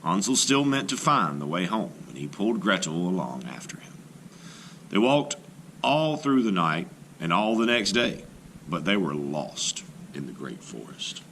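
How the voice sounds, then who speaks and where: Lively, bright male storybook reader. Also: low-pitched, unhurried, slight background noise. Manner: expressive, rising and falling with the tale. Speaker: teacher reading a story aloud. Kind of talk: storybook read-aloud narration.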